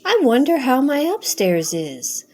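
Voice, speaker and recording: A woman's voice: high-pitched, wildly swooping pitch, a little slow, a little mellow, pitched above the performer's own voice, full character voice. A woman voicing an earnest, sentimental, slightly silly male owl in a children's storybook, with plaintive, simple phrasing. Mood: wondering. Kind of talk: children's storybook character reading.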